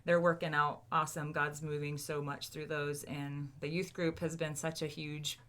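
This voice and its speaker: A woman in her forties from Ohio, talking earnestly and warmly about serving in youth ministry. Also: deep voice, little pitch movement, normal speed. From Ohio, USA. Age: 40s. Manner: earnest, heartfelt. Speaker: guest, youth volunteer. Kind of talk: casual conversational testimony.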